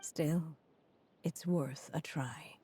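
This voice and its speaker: A woman playing a frail, dying elderly writer in a video game, gentle and resolute, speaking in a measured, weary, reflective way. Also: deep voice, a little hiss or hum. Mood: determined, weary. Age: older.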